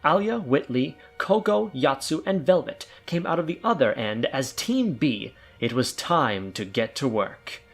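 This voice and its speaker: A man's voice: mid-range voice, lively intonation, measured pace, few pauses. A man narrating a scripted audio drama in a theatrical storytelling manner, with noise behind him. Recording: clear background noise and ambience.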